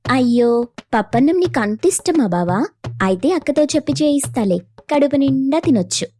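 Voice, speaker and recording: A woman's voice: high voice, animated, moderate pace, mellow, slight background noise, voice pushed up. A woman voicing a mischievous, teasing young woman in a playful, sometimes whiny way for a story. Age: young adult.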